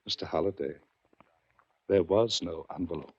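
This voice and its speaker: An actor playing a shrewd, composed, wealthy yacht owner in a 1940s radio mystery, measured and courteous. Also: male voice, low voice, natural voice. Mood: composed, satisfied. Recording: dull vintage radio recording.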